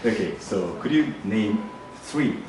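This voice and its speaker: A man's voice: fairly low pitch, lively intonation, slow pace. A man asking interview questions in a casual way, often murmuring along while the guest talks. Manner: frequent soft backchannel murmurs. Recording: distant mic in a very echoey room with noise.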